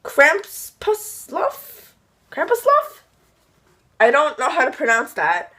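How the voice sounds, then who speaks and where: Chatty young woman with a high voice. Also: a bit bright. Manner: chatty, gushing and rambling, with frequent "like" and exasperated asides. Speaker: book reviewer. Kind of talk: casual book review vlog.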